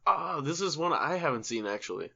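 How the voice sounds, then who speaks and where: A man's voice: a little high, very animated, fairly quick, gravelly, bright, forceful tone. A man co-hosting a casual podcast, talking in slangy, sweary bro-speak on a slightly muffled recording. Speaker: podcast co-host. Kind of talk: casual chat about video game movies.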